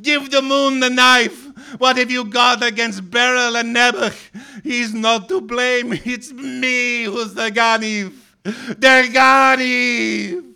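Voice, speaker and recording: A man's voice: extremely high pitch, very animated, unhurried, few pauses, pitched above the performer's own voice, full character voice. A man voicing an anguished, fevered young boy in a story, pleading and wailing. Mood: desperate confession.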